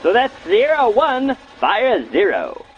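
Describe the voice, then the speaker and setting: A man presents with a high, wildly animated delivery and a mock-serious comic edge. His voice has some rasp, and the recording is dry with a thin, phone-like band. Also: takes it slowly. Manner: tongue-in-cheek, mock-serious. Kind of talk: comedic scripted narration for a safety film. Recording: muffled, phone-like narrow band.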